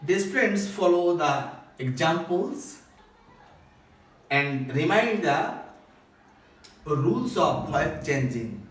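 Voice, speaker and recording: A man with a Bangladeshi accent teaching a school English grammar lesson, explaining deliberately and emphatically phrase by phrase. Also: a little high, sing-song, fairly bright tone. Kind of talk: recorded classroom grammar lesson. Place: echoey indoor room at a board. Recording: very echoey room, distant microphone.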